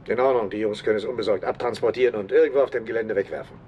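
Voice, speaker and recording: An actor playing a weary, decent, blunt police captain in a radio drama. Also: male voice, fairly low pitch, rapid-fire, a little mellow, lo-fi sound, natural voice. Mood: relieved. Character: weary, decent police captain who stands up for his men. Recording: 1970s radio play with clear background noise.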